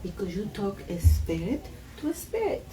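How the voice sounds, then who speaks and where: A woman's voice: mid-range voice, very animated, moderate pace, distant mic, crowd noise, rough recording. A woman from Peru, guest in a casual conversation, speaking earnest, slightly broken English.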